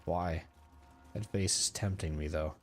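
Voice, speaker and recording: A man's voice: deep voice, steady tone, unhurried, slight background noise. A young man streaming a video game, chatting casually into a desk mic with a rambling, filler-heavy way of talking. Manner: rambling, lots of filler words. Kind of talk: casual gameplay commentary.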